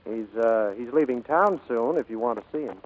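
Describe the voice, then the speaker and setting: An actor playing a kindly, earnest plane builder in 1940s radio drama, gentle and a little hesitant. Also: male voice, medium pitch, animated, normal speed, phone-quality sound, slight background noise, close to an everyday voice. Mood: tender, persuasive.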